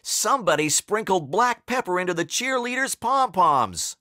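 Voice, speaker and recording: A man's voice: medium pitch, lively intonation, unhurried, fairly bright tone, no echo, clean studio sound. A man voicing an indignant, baffled sports fan exclaiming from the bleachers in a storybook. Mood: indignant, puzzled.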